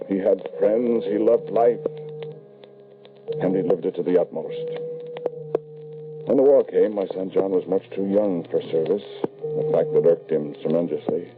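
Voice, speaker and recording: A very low, brooding male voice on a narrow, vintage radio recording. He is a lonely, hardened widower grieving his son, formal and deliberate, pausing heavily for effect. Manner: formal, deliberate, dramatic pauses. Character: embittered, lonely grieving widowed father, hard and obsessive. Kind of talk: 1940s radio drama acting, first-person narration.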